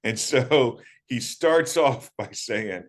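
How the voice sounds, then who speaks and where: A man in his fifties from the United States, recalling his playing days in a relaxed, halting interview manner with many fillers. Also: medium pitch. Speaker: guest, former college running back. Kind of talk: casual interview talk.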